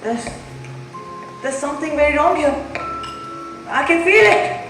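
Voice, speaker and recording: Woman playing anxious polite young woman, stage mystery, very high pitch, far from the mic, clear music behind. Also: a little slow, heavy reverb. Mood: uneasy. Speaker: amateur stage actor in a school play. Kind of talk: theatrical stage acting of a mystery play. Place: school stage, distant microphone.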